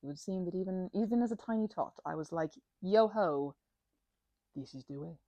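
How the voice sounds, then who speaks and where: A woman's voice: lowish voice, expressive, moderate pace, dark tone, dry recording. A woman doing a casual ASMR roleplay as a chatty, scatterbrained best friend, rambling playfully from one tangent to the next.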